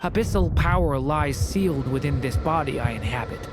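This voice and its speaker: An actor voicing a gentle, earnest, hesitant young man in a video game. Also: on the high side, expressive, moderate pace, close to an everyday voice. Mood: subdued, earnest. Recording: background music under voice.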